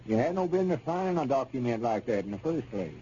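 Man acting a very dark-voiced exasperated country storekeeper. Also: mid-range voice, sing-song, fast talker, natural voice. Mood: exasperated scolding. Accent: Southern US, rural Arkansas. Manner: folksy, fussing, lecturing. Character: exasperated rural storekeeper, scolding his bumbling partner. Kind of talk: 1930s radio comedy serial acting. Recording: dull, noisy 1930s radio recording.

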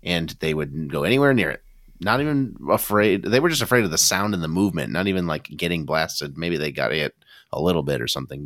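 A man's voice: low-pitched, a bit bright, dry recording, clean studio sound. A man chatting casually and enthusiastically on a podcast, telling anecdotes as a panelist.

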